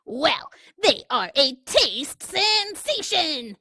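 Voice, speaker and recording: Woman doing a whiny, excitable cartoon pigeon. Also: sits high, very slow, pitched above the performer's own voice, full character voice. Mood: grandiose. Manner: loud, whiny, exclamatory.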